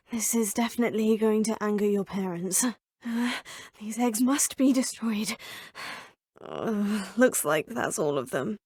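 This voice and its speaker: A polite student witch in a video game, with proper, composed phrasing. Her voice sits a touch high with a breathy edge. The recording is dry and close. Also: darkish tone, no room sound. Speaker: player character.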